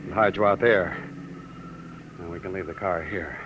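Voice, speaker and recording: A man's voice: low voice, fairly even intonation, normal speed, lightly acted. An American actor playing an urgent, commanding man in a 1950s radio drama, giving clipped orders and reassurance. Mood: calm, reassuring. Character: urgent, commanding man secretly leading a fugitive into hiding. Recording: noisy, narrow old 1950s radio recording.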